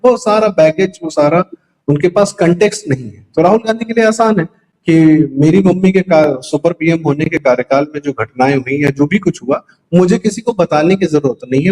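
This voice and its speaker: A man with a slightly high voice delivers opinionated commentary as if explaining things in conversation. He is emphatic and argues his points rhetorically, dropping English words into his sentences. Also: a little mellow, poor audio. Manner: emphatic, poses rhetorical questions and answers them; mixes English words into speech. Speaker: political commentator.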